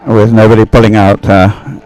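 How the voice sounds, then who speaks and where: A man giving low-key live race commentary in halting, broken phrases. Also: little pitch movement, darkish tone, dry recording, poor audio.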